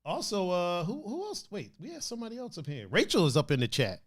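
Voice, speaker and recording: A man's voice: sits high, huge pitch swings, fast talker, over loud music. A man co-hosting a casual podcast from home, joking, laughing often and reading out the live chat. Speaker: podcast co-host.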